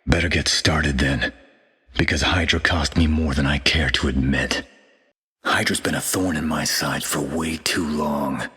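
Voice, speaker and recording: A haunted super-soldier in a video game, with an extremely deep, very husky voice. He speaks in a low-key, dry, grim way. A long reverb trails after his words. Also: steady tone, unhurried, pushed and bright, full character voice.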